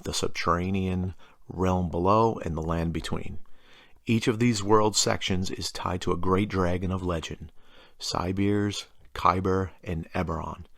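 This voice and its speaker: A man with a very low voice and plenty of gravelly fry presents fantasy game lore. He explains it from a script and slips in informal, wry asides. The sound is close and dry, with very little room. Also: dry recording. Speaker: tabletop gaming lore presenter. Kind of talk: scripted narration with casual asides.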